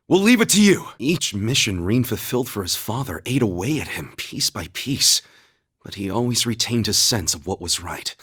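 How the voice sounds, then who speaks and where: Earnest young warrior, animated pitch. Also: male voice, slightly rough, exaggerated voice. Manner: measured, sincere, formal. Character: calm, earnest young male warrior, humble and loyal, speaks reverently of wind. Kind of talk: scripted video game voice acting, battle cries and story dialogue.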